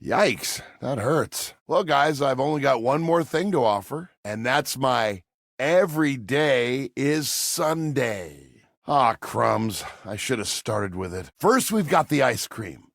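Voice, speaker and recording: A man voicing a folksy, eager-to-please ice cream server in a video game, with homespun, expressive delivery. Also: medium pitch, slow pace, gravelly, no room sound, studio quality, exaggerated voice. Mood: crestfallen, then earnest. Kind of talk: scripted video game character dialogue.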